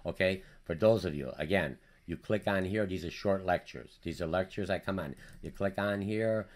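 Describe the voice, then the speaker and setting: A man with a Polish accent, a college business instructor giving a hurried, energetic recorded class update full of fillers and restarts. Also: low-pitched. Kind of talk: recorded course update lecture.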